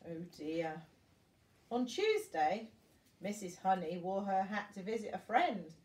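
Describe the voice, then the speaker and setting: A woman's voice: mid-range voice, sing-song, takes it slowly, a little mellow. An older woman reading a storybook aloud to young children, expressively, like a grandmother at bedtime, with little asides to her listeners. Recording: roomy echo, distant from the mic.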